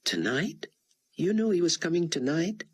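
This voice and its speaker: A man voicing a tearful, apologetic young woman in an audiobook, speaking softly and in near-whispers. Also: fairly high pitch, very animated, normal speed, husky, pitched above the performer's own voice. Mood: teasing. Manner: soft, whispery, teary. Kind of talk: audiobook character voice.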